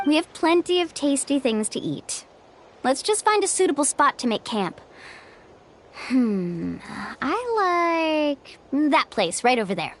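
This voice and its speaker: Young game guide, high lively voice, reassuring, music behind. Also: female voice, measured pace, a little hiss or hum, heavily acted. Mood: cheerful. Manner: warm, reassuring, leader-like.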